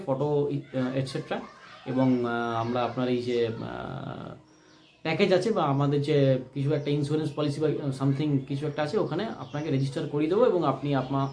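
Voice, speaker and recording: A man telling a personal story unscripted, in a deliberate, emphatic way that repeats phrases for stress. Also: a little high, a little mellow, a little hiss or hum. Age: adult, married. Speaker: vlogger telling a personal experience. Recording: echoey room.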